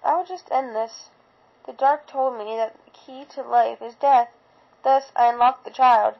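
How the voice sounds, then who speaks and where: A woman's voice: fairly high pitch, unhurried, gravelly, dull audio. A woman voicing an emotional, unstable young pony in a storybook reading. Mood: mad, gloating. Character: frightened young pony turning gleefully mad killer.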